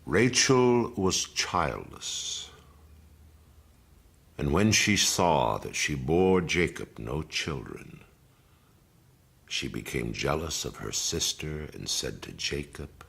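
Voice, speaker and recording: Solemn household commander: extremely low, slow, formal delivery, audio drama. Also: male voice, big pitch swings, gravelly, a bit bright, echoey room, heavily acted. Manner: formal, measured, deliberate. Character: solemn older male commander, master of the household. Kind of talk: audio drama acting, scripture reading.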